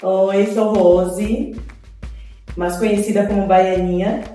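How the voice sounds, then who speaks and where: Mellow Bahian woman in a very echoey room. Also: medium pitch, fairly even intonation, slow, recorded from a distance. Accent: Bahian Brazilian. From Salvador, Bahia, Brazil. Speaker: restaurant owner and cook. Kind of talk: informal explanation to camera. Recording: very echoey room, off-mic, faint music. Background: faint music.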